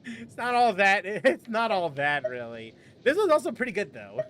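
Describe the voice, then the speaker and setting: A man's voice: high voice, sing-song, moderate pace, fairly bright tone. A man streaming a video game, chatting casually with viewers and rambling with frequent laughs. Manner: rambling, laughs often, restarts sentences. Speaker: gaming streamer. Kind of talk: casual live-stream commentary.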